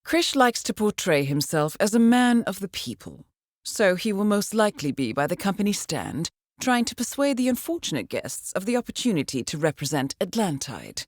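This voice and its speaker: An actress playing a composed, shrewd intelligence handler in a video game, giving mission briefings in a measured, precise and dryly wry way. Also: female voice, mid-range voice, sing-song, no room sound, clean studio sound. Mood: composed, intrigued.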